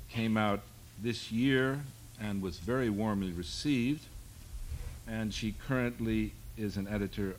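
A man in his sixties with a lowish voice moderates a live panel before an audience. He talks in a wry, rambling, self-deprecating way, and a faint hum of the room sits behind him. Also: low-quality recording. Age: 60s.

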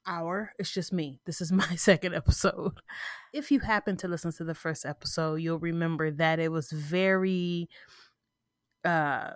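A woman's voice: fairly low pitch, fairly even intonation, normal speed, no room sound, clean studio sound. Congested woman hosting a casual podcast. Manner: rambling, informal, frequent "right?" asides and self-restarts. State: congested, sniffling. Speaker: podcast host. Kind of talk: casual solo podcast talk. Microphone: close podcast mic.

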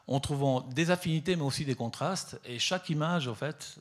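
A man's voice: a little high, moderate pace. A middle-aged man from southern Italy, talking about his photography with frequent fillers and repeated words. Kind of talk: hesitant conversational talk at an exhibition.

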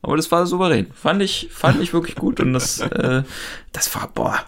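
A man's voice: a little high, moderate pace, vocal fry. A young man in casual podcast chat, telling anecdotes in a rambling, colloquial and opinionated way. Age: young adult. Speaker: podcast co-host.

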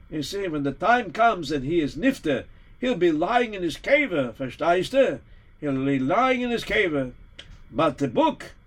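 A man's voice: high voice, voice pushed up. A man voicing a wise old rabbi, earnest and emphatic.

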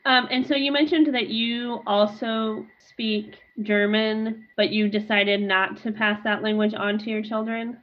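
A woman co-host of a podcast, asking the guest careful, unhurried questions over a video call. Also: on the high side, fairly even intonation, highs cut off. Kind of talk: interview questions.

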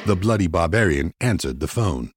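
A man's voice: low voice, expressive, normal speed, very noisy. A man narrating a comic radio drama in a mock-epic, theatrical storytelling style. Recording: loud music under narration.

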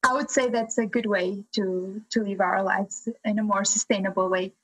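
A woman's voice: mid-range voice, steady tone, moderate pace, slightly rough, rough recording. A woman hosting a podcast, giving a scripted introduction and interview questions. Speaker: podcast host.

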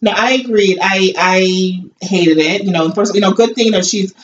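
A woman's voice: mid-range voice, normal speed. A woman with a New York accent, talking bluntly and with swearing in a casual chat about a reality show. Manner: blunt, profane, animated. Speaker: co-host. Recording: distant, dull, faint hiss.

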